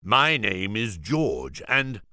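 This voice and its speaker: A man's voice: lowish voice, big pitch swings, slow, bright, forceful tone, no room sound, studio quality, exaggerated voice. A man voicing a shy, polite male dragon who talks hesitantly and stammers. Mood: nervous, earnest.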